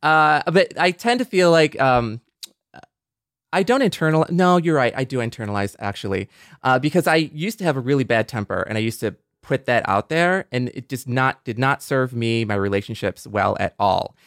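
A man's voice: medium pitch, animated, moderate pace, fairly bright tone, dry recording, studio quality. A man co-hosting a podcast in a casual, reflective conversational style. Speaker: podcast co-host.

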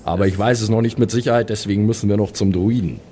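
A man plays a composed male cleric in a fantasy role-play. His voice is lowish and mellow, his wording formal and measured, a little dry. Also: steady tone, a little hiss or hum, close to an everyday voice. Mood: resolute, dry. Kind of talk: tabletop role-play acting.

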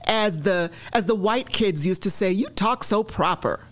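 Brief: middle-aged woman from Nigeria, interview guest, reflective, hesitant with ums, mid-pitched. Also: a little slow. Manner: reflective, with frequent ums and restarts. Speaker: guest, newspaper columnist. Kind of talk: conversational interview.

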